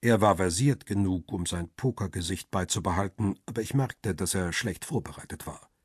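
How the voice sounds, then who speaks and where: A jailed paparazzo narrates a radio crime story. His voice is low and very husky, recorded close and dry. He sounds wary and uneasy. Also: normal speed, a little mellow, no room sound. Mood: tense, observant. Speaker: narrator and lead actor. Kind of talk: radio crime drama, first-person narration.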